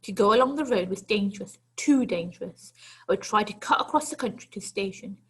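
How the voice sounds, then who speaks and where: A woman's voice: medium pitch, lively intonation, brisk, a bit bright, husky, low-quality recording. A woman teacher reading a children's story aloud to pupils, expressively and with dramatic, emphatic phrasing.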